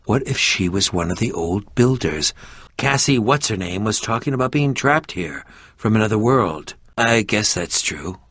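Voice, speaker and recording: An actor voicing an eccentric, prickly and curious middle-aged adventurer in a video game, with a pompous, theatrical manner and formal wording. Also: male voice, on the low side, expressive, moderate pace, fairly bright tone, slightly rough, no room sound, clean studio sound. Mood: pompous, musing.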